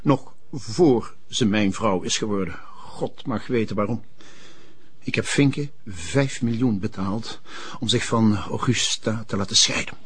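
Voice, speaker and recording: Husky, haughty gentleman. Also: fairly low pitch, unhurried. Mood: disdainful. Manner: formal, peppers Dutch with French phrases. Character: haughty, bitter wealthy gentleman with French affectations. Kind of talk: TV drama acting.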